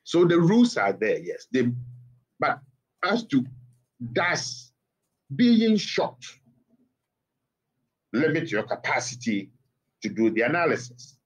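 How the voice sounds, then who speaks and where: A middle-aged Ghanaian man speaks over a phone line to a TV studio. His voice is husky and sits at a middle pitch, and he builds his points slowly and firmly, pressing them with rhetorical questions. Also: wildly swooping pitch. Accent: Ghanaian English. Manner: measured, emphatic rhetorical questions. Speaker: academic guest on phone. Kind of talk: TV interview commentary.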